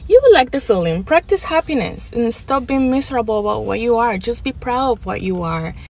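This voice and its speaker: A woman's voice: a little high, expressive, a little fast, darkish tone, low-quality recording. Woman from the Dominican Republic, casual rant, preachy and dismissive delivery. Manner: dismissive, lecturing.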